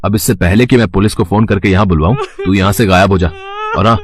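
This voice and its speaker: A man's voice: low-pitched, fast, a crowd in the background, lightly acted. A man acting an arrogant, short-tempered fashion designer in a dramatised moral story, imperious and mixing English into his Hindi. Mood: angry, scornful.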